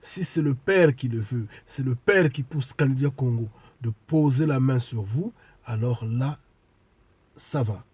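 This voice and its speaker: A man preaching a sermon to his followers while congested with a cold, speaking deliberately and emphatically and repeating key phrases. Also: mid-range voice, darkish tone, breathy edge, dry recording. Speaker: spiritual preacher.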